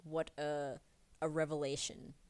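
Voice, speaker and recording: A woman's voice: low voice, level tone, measured pace, darkish tone. A woman streaming her drawing session, chatting casually and rambling with many fillers, a little sniffly from a cold. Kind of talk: casual chat while drawing.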